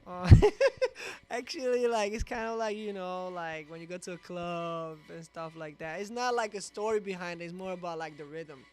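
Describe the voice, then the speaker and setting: A man's voice: high voice, normal speed, darkish tone. A young man, a native Albanian speaker raised in Greece, chatting casually as a guest in an interview, with many fillers. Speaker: guest musician.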